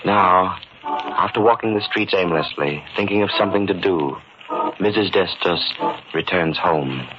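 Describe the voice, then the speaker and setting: An actor as a solemn narrator of a radio melodrama, delivering scripted narration. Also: male voice, sits low, a little slow, heavy background noise. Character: solemn announcer recapping a melodrama. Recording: muffled old radio recording. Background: loud music.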